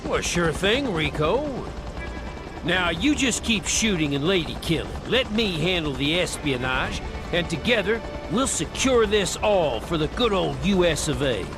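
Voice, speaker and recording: A man's voice: sits high, sing-song, measured pace, heavily acted. A man with an American accent playing a jovial, patriotic spymaster in a video game, folksy and breezy. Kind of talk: video game cutscene acting. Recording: music and gunfire behind the voice.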